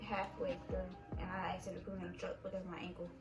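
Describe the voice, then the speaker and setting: A young woman co-hosting a vlog, telling army stories in an animated, profane, laughing way. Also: lowish voice, very fast, roomy sound, distant mic, over music. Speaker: vlog co-host, army veteran. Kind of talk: casual storytelling chat.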